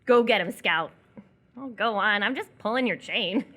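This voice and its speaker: A woman's voice: medium pitch, normal speed, sharp tone. A woman playing a blunt, teasing young eatery worker in live radio theatre, with a casual, offhand way of talking. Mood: teasing, curious. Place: live stage performance before an audience.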